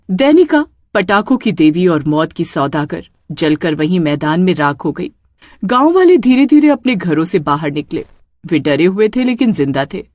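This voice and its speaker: A woman's voice: fairly low pitch, darkish tone, no echo. A woman narrating a scripted dramatic story as storyteller.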